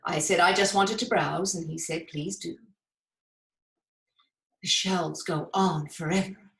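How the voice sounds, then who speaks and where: A woman's voice: on the low side, fairly even intonation, measured pace, vocal fry, a bit bright. A woman playing a gushing 1950s actress in a stage monologue, savouring an effusive, emphatic letter to her dearest friend. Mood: enchanted, savouring. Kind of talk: theatrical monologue of a letter read aloud. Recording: roomy, echoing sound.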